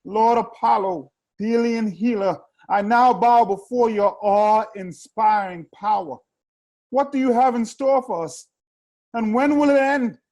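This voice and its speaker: An actor playing a terrified chorus praying to the gods in an incantatory reading of Greek tragedy, with fervent declamation. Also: male voice, extremely high pitch, warm tone.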